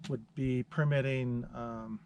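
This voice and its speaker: A man's voice: mid-range voice, fairly even intonation, takes it slowly, darkish tone. A man chatting casually with companions outdoors on a noisy recording. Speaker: hiking companion. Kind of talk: casual chat. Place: outdoors on a mountain summit.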